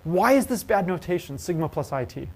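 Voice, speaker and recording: Man, math professor, university lecture, fairly high voice, quizzes the room, playful. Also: brisk. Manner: lively, asks the class questions and jokes. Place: lecture hall.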